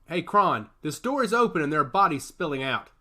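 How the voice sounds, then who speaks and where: A man voicing an alert male soldier in a squad, quick and questioning, in a story reading. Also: a little high, very animated, bright, forceful tone, full character voice.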